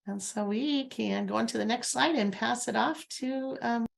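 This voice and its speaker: A woman's voice: fairly high pitch, moderate pace, vocal fry. A woman hosting an educators' webinar, introducing the presenters with frequent ums and thanks. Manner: warm and grateful, with frequent ums. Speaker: webinar host.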